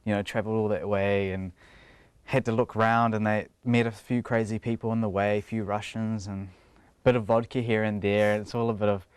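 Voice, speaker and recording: A man's voice: on the low side, level tone, normal speed, clean tone, no echo. A young man with a New Zealand accent, chatting casually in a TV interview and laughing often between his thoughts.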